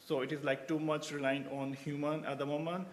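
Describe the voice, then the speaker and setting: A man's voice: fairly high pitch, flat delivery, a little fast, a little hiss or hum. Hesitant man from Pakistan giving a conference talk. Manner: hesitant, many fillers and restarts. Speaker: researcher presenting at a symposium.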